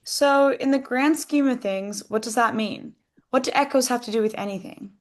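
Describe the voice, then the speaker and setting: A woman's voice: a little high, moderate pace, lightly acted. A woman playing a puzzled audience member asking questions at a screenplay table read.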